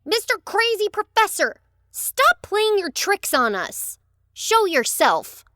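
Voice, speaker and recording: A woman's voice: extremely high pitch, sing-song, slow, bright, forceful tone, no echo, studio quality, exaggerated voice. A woman playing a brash, skeptical, impulsive young girl in a children's audio drama, with a blunt manner. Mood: demanding. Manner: blunt, exclamatory. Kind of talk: children's audio fiction acting.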